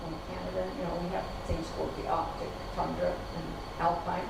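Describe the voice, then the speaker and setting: Woman, lowish voice, explaining, thinking aloud, heard from a distance, booming hall, background noise. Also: level tone, brisk, vocal fry. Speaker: guest speaker answering audience questions. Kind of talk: lecture Q&A. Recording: echoey hall from far off, noisy.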